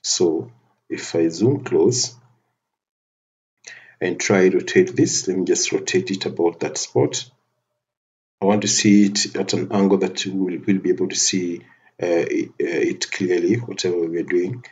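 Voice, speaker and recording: A man giving a methodical, step-by-step software tutorial over a screen recording, often restarting his phrases. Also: low voice, fairly even intonation, measured pace, low-quality recording. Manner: methodical step-by-step narration with frequent restarts and fillers.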